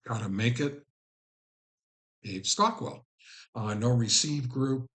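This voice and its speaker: A man's voice: mid-range voice, slow pace, a bit bright. A man presenting an informal step-by-step tutorial as host, instructing the viewer directly. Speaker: host of a ham radio how-to channel.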